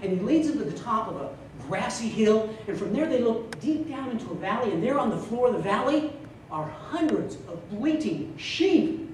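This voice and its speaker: Male contest speaker, humorous tall-tale delivery, lively and theatrical, recorded from afar in a very echoey room. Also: very high-pitched. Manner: theatrical, with drawn-out punchlines. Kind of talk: humorous storytelling speech to a live club audience. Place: meeting room before an audience. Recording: echoey room from far off, loud noise.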